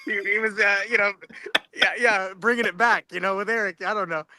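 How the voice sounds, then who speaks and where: High-pitched, fast-talking man, bright voice. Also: fairly even intonation, dry recording, poor audio. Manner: scattered, repetitive phrasing. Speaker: talk show guest. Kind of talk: casual personal anecdotes.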